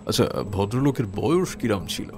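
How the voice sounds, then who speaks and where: A man playing a composed detective in an audio drama, speaking deliberately with polite authority and a probing, reasoning manner. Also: on the low side, expressive, darkish tone, faint music behind, close to an everyday voice. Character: composed, probing male private detective on a case.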